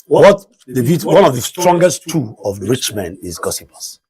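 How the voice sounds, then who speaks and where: A middle-aged Nigerian man with a Nigerian English accent and a loud voice, talking emphatically in a studio discussion. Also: medium pitch, moderate pace, husky. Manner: emphatic, excitable, rises sharply when stressing points. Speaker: discussion panelist.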